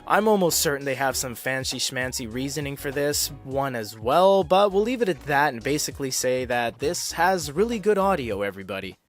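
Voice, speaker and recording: A man's voice: medium pitch, expressive, fairly quick, hardly any pauses, faint music behind. A man narrating a scripted product review as a tech reviewer, explaining technical terms plainly and dropping wry, casual asides.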